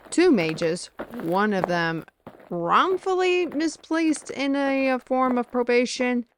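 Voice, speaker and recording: A woman playing a wry, chatty, worldly mage in a fantasy audio drama, musing aloud in a theatrical manner. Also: on the high side. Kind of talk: fantasy audio roleplay acting.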